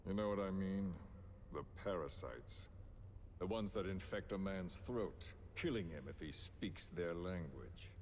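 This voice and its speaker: A very deep, slow menacing villain. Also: male voice, animated, a little hiss or hum. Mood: taunting. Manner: slow, deliberate, condescending, theatrical. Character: menacing, philosophical male villain coercing a captive. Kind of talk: dramatic video game voice acting.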